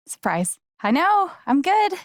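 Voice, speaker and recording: An actress playing a young adult woman in a video game, warm, awkward and sarcastic, talking in a casual, halting, naturalistic way. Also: high voice, very animated, no room sound, clean studio sound, full character voice. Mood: playful teasing.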